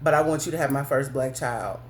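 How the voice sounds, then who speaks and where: A woman quoting a confident, smooth-talking boyfriend in a casual, self-assured way, close to her own voice. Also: rumbling low, brisk, slight background noise, pitched below the performer's own voice. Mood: smug.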